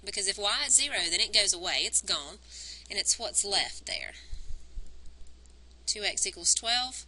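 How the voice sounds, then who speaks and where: A woman teacher recording a math lesson on a wired mic, explaining each step and counting points aloud, her voice worn and going hoarse. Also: mid-range voice, lively intonation, moderate pace, sharp tone, low-quality recording. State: losing her voice. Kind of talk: recorded math lesson, working through graphs. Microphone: wired microphone on a cord.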